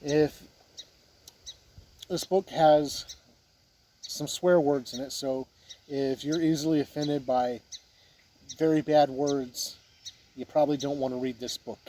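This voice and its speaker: A man giving a casual, unscripted review as a video host, plain-spoken with halting phrasing, with wind on the recording. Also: on the high side, fairly even intonation, warm tone. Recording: wind noise on the mic.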